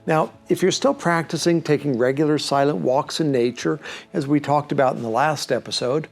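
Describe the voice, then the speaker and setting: A man hosting a reflective program, speaking to listeners in an earnest, gently instructive way. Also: a little high, normal speed, creaky, soft background music. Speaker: host of a reflective series.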